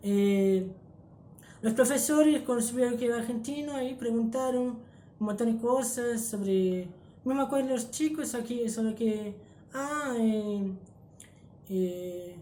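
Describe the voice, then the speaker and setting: A man's voice: very high voice, measured pace, dark tone, a little hiss or hum. Hesitant young man, Brazilian accent. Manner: hesitant, many fillers, trailing off. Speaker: guest. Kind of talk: casual interview answers. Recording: echoey room.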